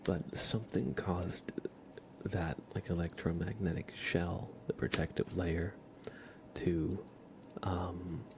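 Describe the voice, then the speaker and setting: Male ASMR streamer, unhurried and hushed, low register, slow pace, chatting gently over noticeable background ambience. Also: level tone, noisy background. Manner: soft-spoken, often dropping into whispers. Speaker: livestream host. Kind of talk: ASMR livestream chat.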